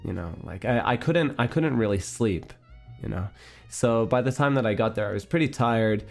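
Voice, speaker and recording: A man streamer chatting casually with his viewers, rambling with restarts and little breathy laughs. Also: mid-range voice, animated, normal speed, soft background music.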